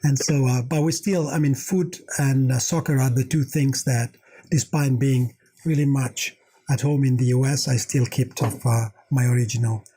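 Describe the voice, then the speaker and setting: A man's voice: mid-range voice, fairly even intonation, moderate pace, dark tone, husky, low-quality recording. A middle-aged man from Italy with an Italian accent, answering interview questions conversationally as an executive guest.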